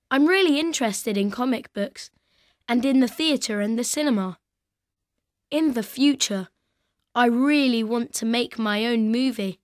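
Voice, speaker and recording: An artistic fourteen-year-old boy, played by a woman, talks about his hopes in a classroom recording. The voice is fairly high, with slow and careful pacing. It sounds close and dry, as if recorded in a studio. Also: no room sound, close to an everyday voice. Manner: slow, clear, careful delivery. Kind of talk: scripted coursebook audio.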